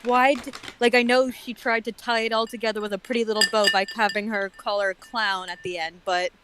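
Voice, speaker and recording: A woman's voice: fairly high pitch, steady tone, brisk, noisy background. A woman chatting casually as a commentator, with a dry, sarcastic way of talking. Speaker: guest commentator on a story reading. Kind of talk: casual chat.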